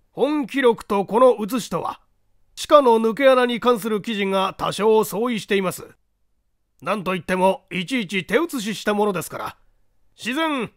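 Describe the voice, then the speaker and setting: A man's voice: high voice, no room sound, studio quality, voice pushed up. A man voicing a courteous, anxious lord of a castle in a mystery audiobook, speaking formally and theatrically. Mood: earnest, explanatory. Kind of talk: dramatized Japanese mystery audiobook reading.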